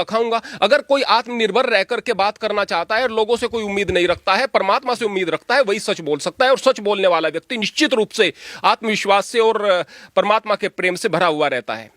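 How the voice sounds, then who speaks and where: High-voiced preachy holy man. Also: fairly bright tone, dry recording, studio quality. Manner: preachy, emphatic, plain-spoken. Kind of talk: earnest spoken argument.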